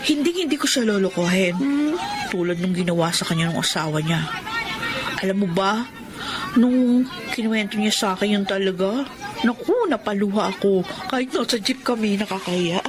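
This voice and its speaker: A woman's voice: medium pitch, expressive, normal speed, crowd noise, background noise, natural voice. A woman playing a simple, shy but coquettish young woman in love in a Filipino radio drama. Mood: earnest, smitten.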